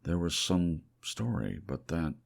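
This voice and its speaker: A haunted, lovestruck man , played by a male audiobook reader, recalls his dream with halting passion. His voice is extremely low and full of rough creak, wistful in temper. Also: sing-song, full character voice. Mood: wistful, unsure. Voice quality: gravelly, creaky.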